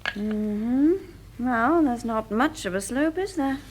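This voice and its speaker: Fairly high-voiced assistant. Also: female voice, expressive, slow, a little hiss or hum. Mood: helpful. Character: obliging, down-to-earth female assistant to an investigator. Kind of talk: classic British radio drama acting.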